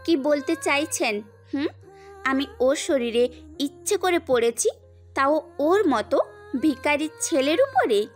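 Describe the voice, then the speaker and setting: Haughty rich young woman who picks fights, high scornful voice, as a woman acts her. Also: animated, slow, faint music behind.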